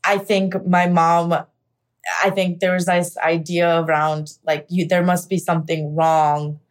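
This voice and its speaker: A woman's voice: on the low side, flat delivery, slow. A young woman from the United States candidly telling her own story in an interview, reflective and full of casual fillers. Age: young adult. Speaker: actor sharing her story. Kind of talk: personal interview monologue.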